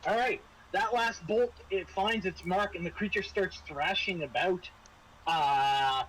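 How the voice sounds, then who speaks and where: Bright-voiced man running a tabletop game. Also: sits high, measured pace, a little hiss or hum, poor audio. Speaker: game master. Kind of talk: improvised tabletop game narration and casual rules talk.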